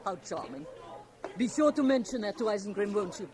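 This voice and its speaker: A commanding, mannish woman played by an actress who is cool, formal and slyly amused. She probes with polished poise in a radio play, with a crowd clearly audible behind her. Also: mid-range voice, sing-song, brisk, background noise, natural voice. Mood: coolly amused. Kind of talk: 1980 radio drama acting. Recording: old radio drama tape, crowded background.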